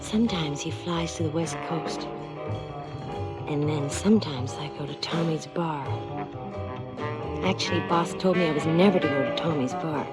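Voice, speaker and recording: An actress playing a crime boss's wistful, wary mistress in a seventies radio drama, confiding in hushed tones that often slip into whispers. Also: female voice, low-pitched, moderate pace, pauses often, loud music behind the voice, natural voice. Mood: matter-of-fact, confiding. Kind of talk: 1970s radio drama acting, intimate confessional monologue and dialogue.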